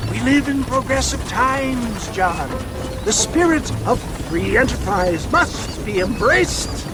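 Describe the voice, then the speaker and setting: Pompous, high-pitched old snake-oil salesman. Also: expressive, slow, loud music behind the voice, exaggerated voice. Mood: defensive, preachy. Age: older. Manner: florid, pompous, theatrical orator.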